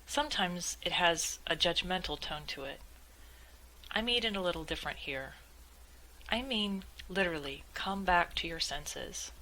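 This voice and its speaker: A woman leading a guided meditation in a measured, gently instructive way, as calm spoken instruction. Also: lowish voice, a little hiss or hum, low-quality recording.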